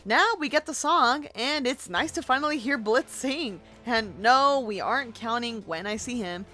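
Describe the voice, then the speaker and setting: A woman with a fairly high voice gives casual fan commentary as a co-host. Her pitch moves around a lot, and light music sits behind her. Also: fairly bright tone. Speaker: co-host of a fan recap show.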